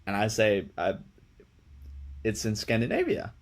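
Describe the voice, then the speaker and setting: A man's voice: huge pitch swings, unhurried. A man from Denmark telling a short story to camera about a store visit. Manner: acts out the other people's lines in a higher voice. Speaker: storyteller in a clip. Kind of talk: anecdote told to camera.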